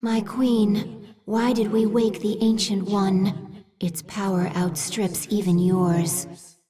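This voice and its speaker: An actress playing a calm, dutiful female alien advisor creature in a video game, formal, measured and deferential. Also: medium pitch, crowd noise, close to an everyday voice. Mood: questioning, respectful. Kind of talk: video game cinematic acting. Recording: game music behind.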